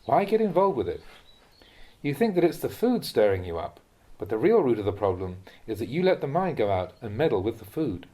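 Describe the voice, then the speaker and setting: A man reading a translated Buddhist talk aloud as a monk would teach, in a measured, instructive manner. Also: mid-range voice, sing-song, dark tone.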